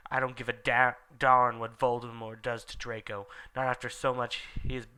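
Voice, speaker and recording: A man's voice: mid-range voice, level tone, moderate pace, bright, forceful tone, clear, resonant tone, natural voice. A man doing a sneering old wizard. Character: grumpy, mean old male headmaster wizard.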